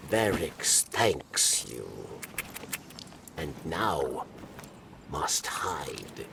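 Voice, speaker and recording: A man voicing a wily, pleading alien schemer in a video game, with a croaking voice and a halting, theatrical delivery. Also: very deep voice, big pitch swings, recorded from a distance, noisy background, exaggerated voice. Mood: urgent, pleading. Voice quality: gravelly, croaking. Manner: halting, theatrical, refers to himself by name. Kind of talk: dramatic video game cutscene acting.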